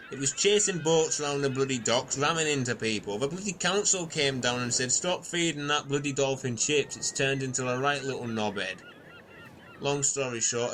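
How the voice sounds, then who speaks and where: A man's voice: medium pitch, a bit bright, slight background noise, close to an everyday voice, poor audio. A man playing a grumpy, sweary, rambling castaway in an amateur comedy audio drama.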